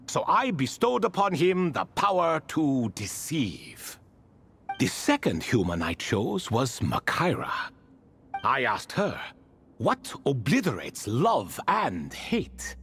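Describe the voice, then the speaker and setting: A man's voice: medium pitch, wildly swooping pitch, takes it slowly, a bit bright, faint music behind, exaggerated voice. A man voicing an ancient, whimsical and prideful sealed ibis spirit king in a video game, telling tales in grand archaic phrasing. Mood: solemn storytelling. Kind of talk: scripted game dialogue.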